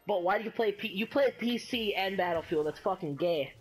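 A man's voice: sits high, fairly quick. Crude, taunting young man prank calling. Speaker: prank caller. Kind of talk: prank phone call. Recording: music laid over the voice.

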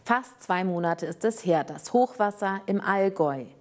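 A young woman news presenter introducing a story from a studio in a lively announcing tone. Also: mid-range voice, moderate pace.

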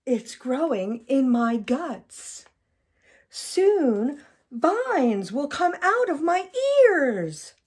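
A woman voicing an excitable, melodramatic young crocodile from a children's picture book. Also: high-pitched, drawn-out words, voice pushed up, full character voice. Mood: panicked. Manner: dramatic, exclamatory, melodramatic. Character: excitable, panicky young crocodile who loves watermelon.

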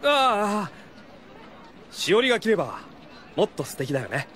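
Nervous high school boy, wildly swinging pitch. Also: very animated, normal speed, fairly bright tone, a faint crowd. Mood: eager to please. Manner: stammering, flustered, muttering inner monologue. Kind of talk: Japanese audio drama acting. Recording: music under the voice.

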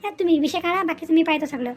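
A woman's voice: very high-pitched, very fast, a little mellow, slight background noise. A woman with a Vidarbha Marathi accent playing a greedy, bossy young daughter-in-law in a cartoon family drama, wheedling and insistent. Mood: coaxing, boastful. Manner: insistent, wheedling, punctuated with questioning grunts.

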